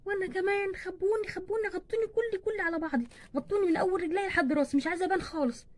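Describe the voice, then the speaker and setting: Lady doing a bossy egyptian big sister in a doll story, high voice, talks super fast, naggy. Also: darkish tone, close to an everyday voice. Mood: uneasy. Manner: scolding, chattering. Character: bossy older sister, a schoolgirl.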